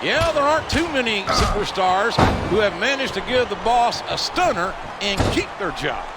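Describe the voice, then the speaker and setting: A man's voice: high voice, very animated, moderate pace. A man delivering emphatic scripted commentary for a wrestling video game. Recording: very noisy game audio capture.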